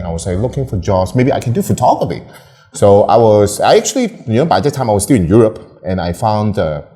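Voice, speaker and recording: A man's voice: fairly low pitch, dark tone. A young man from Hong Kong, a photographer, chatting casually as an interview guest, rambling with lots of fillers and restarts. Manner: laughs often, rambling with many fillers and restarts.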